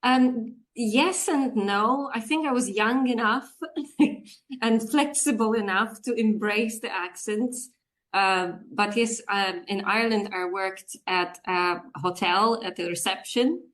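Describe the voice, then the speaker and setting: A woman's voice: mid-range voice, slow, a bit bright. A woman in her forties from Poland, chatting as an interview guest about her work, with frequent laughs and fillers. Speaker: guest, business English communications coach. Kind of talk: casual interview chat.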